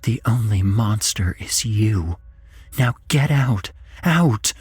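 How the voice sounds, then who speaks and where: A man's voice: lowish voice, animated, slow, darkish tone, breathy edge, no echo, voice pushed up, exaggerated voice. A man voicing a grief-stricken, hateful mother in a horror story, accusing bitterly and tearfully. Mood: bitter, anguished.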